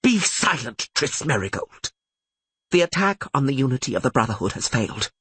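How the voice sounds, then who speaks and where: A man's voice: medium pitch, very animated, normal speed, fairly bright tone, breathy edge, no echo, pitched above the performer's own voice, exaggerated voice. A man voicing an imperious older female archmage in an audiobook, speaking in formal, proclamatory tones. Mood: commanding. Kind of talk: audiobook character voice.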